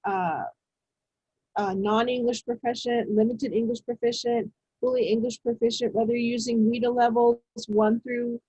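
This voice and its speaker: A woman leads a teacher-training webinar in a measured, unhurried way, often pausing on fillers and restarting her sentences. Her voice sits a little high and has a husky edge. The sound is dry and close, with no room echo. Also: darkish tone, muffled recording. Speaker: education presenter. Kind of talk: webinar presentation. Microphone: video call.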